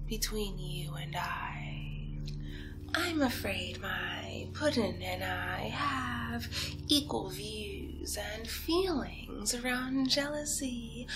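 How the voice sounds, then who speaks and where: A woman acts out a playful young villainess, lonely and possessive, in an ASMR roleplay. Her voice sits fairly high and moves extremely slowly, dipping from coy murmurs into breathy whispers. Music plays clearly behind her. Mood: coaxing, possessive. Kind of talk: ASMR roleplay, soft talk and whispering to the listener.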